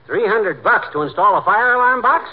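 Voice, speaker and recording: An actor playing a blustering, self-important American husband in a 1940s radio comedy, boastful, slangy and excitable. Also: male voice, sits high, normal speed, husky. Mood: curious. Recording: muffled, noisy 1940s radio recording.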